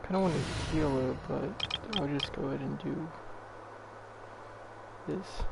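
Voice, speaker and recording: A young man giving casual gameplay commentary in a halting, mumbly, self-deprecating way. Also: a little high, vocal fry, background noise. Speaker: game streamer. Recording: game music behind voice.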